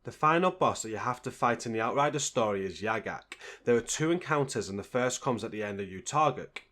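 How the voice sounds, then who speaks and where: A man's voice: fairly low pitch, lively intonation, fairly quick, fairly bright tone. A man reading scripted narration as a video game guide host.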